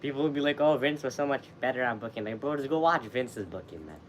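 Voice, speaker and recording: Co-host, relaxed guy, lively intonation, off-mic with faint hum. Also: medium pitch, fast. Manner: laid-back, short agreeing remarks. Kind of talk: casual live-stream chat.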